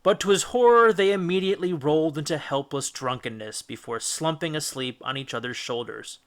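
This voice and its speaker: A man reading a children's classic as a storyteller, with expressive, deliberate, dramatic narration. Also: fairly high pitch. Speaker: audiobook reader, storyteller.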